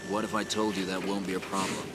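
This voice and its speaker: A man's voice: low voice, little pitch movement, fast, over loud music, lightly acted. An actor playing a weary, determined male resistance fighter in a video game.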